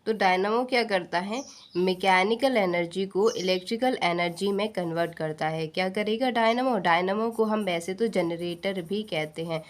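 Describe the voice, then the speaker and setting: An Indian woman teaching an exam-prep science lesson, posing questions and answering them herself, repeating key points and mixing in English technical terms. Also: medium pitch.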